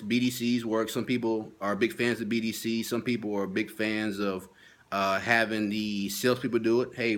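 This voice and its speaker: A man's voice: on the low side, fairly bright tone. A man speaking as a sales training consultant in an informal video lesson, stressing and repeating his key points. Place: at home.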